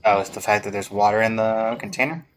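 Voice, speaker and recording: A man's voice: fairly low pitch, steady tone, fast talker, a little hiss or hum. A man giving casual gameplay commentary, reading dialogue aloud and adding offhand remarks. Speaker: game streamer.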